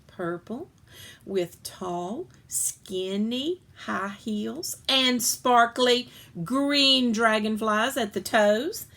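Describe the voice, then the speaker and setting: A woman reads a first-person children's story aloud in an expressive narrating style. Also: on the high side, extremely slow. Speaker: story reader.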